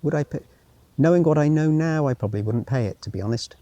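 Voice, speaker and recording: A man's voice: a little high, expressive, fast, mellow, no echo. A man giving an unscripted travel commentary as he walks, musing to himself with wry asides and sometimes reading signs aloud. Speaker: travel vlogger. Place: outdoors, walking around a former military town.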